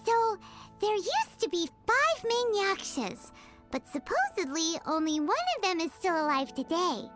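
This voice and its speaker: A woman voicing a small, cute, curious fairy companion in a video game, with a squeaky childlike voice and expressive delivery. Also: unhurried, over music, full character voice. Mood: curious, eager. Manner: refers to self in third person, exclaiming, expressive. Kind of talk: video game character dialogue.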